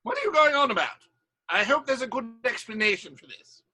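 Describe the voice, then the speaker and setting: Exasperated stage manager and playwright: very high, bright, declamatory, theatrical audio drama. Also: male voice, sing-song, brisk, breathy edge, exaggerated voice. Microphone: video call recording.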